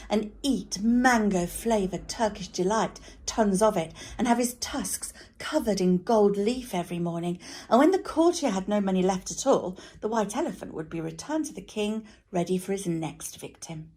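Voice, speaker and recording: A woman reading a children's story aloud as a teacher, in an expressive narrating style. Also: mid-range voice, moderate pace, few pauses, breathy edge.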